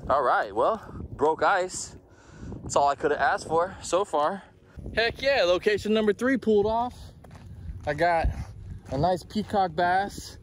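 A man's voice: high voice, expressive, measured pace, long pauses, a little hiss or hum. A man in his forties, a fishing vlogger, talking casually to a handheld camera outdoors by a canal, a bit out of breath from the heat. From South Florida. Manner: chatty, self-talking, upbeat. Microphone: handheld camera at arm's length.

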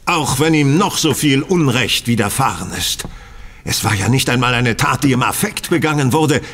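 An actor in a German audio drama playing a shrewd, commanding master detective with an incisive, formal, stern delivery. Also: male voice, mid-range voice, lively intonation, normal speed, a bit bright, slightly rough.

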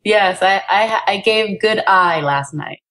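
A woman guest on a podcast chatting casually, bubbly and quick to laugh. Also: medium pitch, a bit bright. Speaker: guest actor. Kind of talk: casual chat over a call.

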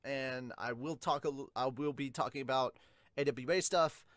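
A man's voice: mid-range voice, normal speed, fairly bright tone, dry recording, studio quality. A man in his thirties chatting casually as a podcast host, talking to camera about manga. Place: small bedroom, indoors. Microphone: desk microphone, webcam setup.

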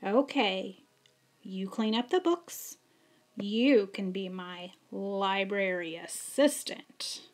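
A middle-aged woman reading a children's story aloud in an expressive, measured and emphatic way. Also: medium pitch. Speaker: school librarian and storyteller.

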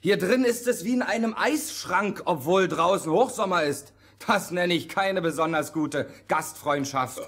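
Cocky soldier leader, mock polite, high raspy voice. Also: male voice, measured pace, hardly any pauses, fairly bright tone, full character voice. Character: bold, confident, cunning soldier leading a band. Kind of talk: theatrical radio play acting.

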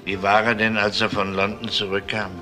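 An actor playing a dry, polite, methodical police detective questioning people in a German crime radio drama. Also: male voice, fairly low pitch, flat delivery, fairly quick, music in the background, lightly acted. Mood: dry, probing.

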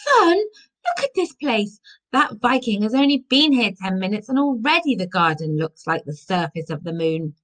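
A woman's voice: medium pitch, big pitch swings, measured pace, fairly bright tone, voice pushed up, rough recording. A woman voicing a flustered, fretful father who complains and panics easily in a story. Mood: indignant.

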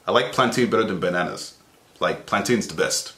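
A young man reacting to a video from his small bedroom, excitable and playful, with teasing asides into the webcam. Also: low voice, fairly quick. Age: young adult. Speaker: reaction vlogger. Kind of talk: casual reaction commentary. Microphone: webcam at desk, earphones in. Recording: echoey room.

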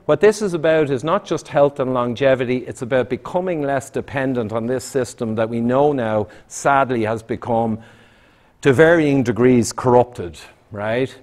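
A man's voice: mid-range voice, a little mellow. Punchy man lecturing a hall. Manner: punchy, emphatic, quick asides. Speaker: public speaker. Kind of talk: lecture to a live audience. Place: town hall, indoors. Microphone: far camera, room sound.

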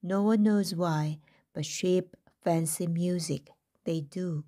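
A woman reading a first-person story aloud in a gentle, deliberate manner. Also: fairly low pitch.

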